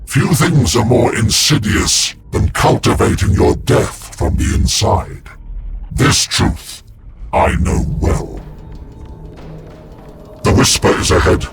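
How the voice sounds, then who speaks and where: A brooding, battle-weary psyker warrior in a game cinematic. He narrates slowly and solemnly, his voice gravelly and breathy. Loud music plays behind him. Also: medium pitch, huge pitch swings, exaggerated voice. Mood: grim, brooding. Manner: solemn, portentous. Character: brooding veteran space marine psyker, grim and weary. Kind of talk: dramatic scripted game narration.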